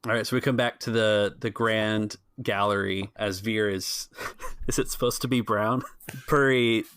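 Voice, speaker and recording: A man's voice: lowish voice, steady tone, measured pace, fairly bright tone, smooth, no echo. A man working as game master, casually narrating a tabletop game and talking players through it. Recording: music behind the voice. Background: music.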